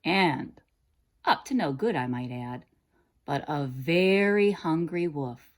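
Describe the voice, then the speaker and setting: A woman narrating a children's story in a dramatic, deliberate, expressive way. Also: low-pitched. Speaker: storybook reader.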